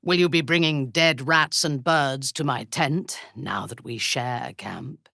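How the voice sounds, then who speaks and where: A woman playing a ruthless, haughty drow warrior zealot in a fantasy video game, speaking in an imperious, menacing and deliberate way. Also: rumbling low, vocal fry, bright, forceful tone, no room sound, studio quality, heavily acted. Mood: haughty, measured.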